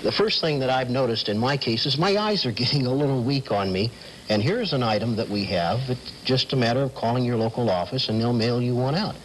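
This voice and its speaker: A man's voice: medium pitch, a little fast. A middle-aged American man explaining as an interview guest in a television studio, on slightly noisy vintage TV sound. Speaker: telephone company specialist, guest. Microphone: lapel mic.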